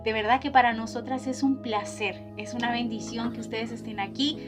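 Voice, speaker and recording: A woman hosts a faith podcast, her Colombian accent touched with a little Chilean. She sounds friendly and eager, and her voice sits high for a woman. Music plays loudly the whole time she speaks. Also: fairly even intonation, moderate pace. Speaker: podcast host. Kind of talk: casual podcast hosting.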